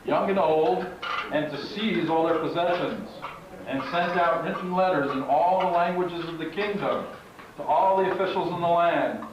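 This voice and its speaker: A man's voice: fairly high pitch, normal speed. A man narrating a puppet show as scripted storytelling, in a declamatory, folksy way. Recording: very echoey, distant, dull, noisy live recording.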